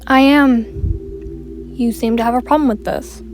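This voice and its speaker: A woman's voice: on the high side, fairly even intonation, moderate pace, lightly acted. A woman playing a haughty, bossy young fairy with a curt, disdainful way of speaking in a fantasy roleplay. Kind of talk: scripted roleplay voice acting. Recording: clear music behind the voice.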